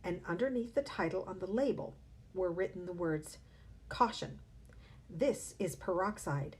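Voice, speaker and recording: A woman, a teacher, reading a children's novel aloud with expressive storytelling. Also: on the low side.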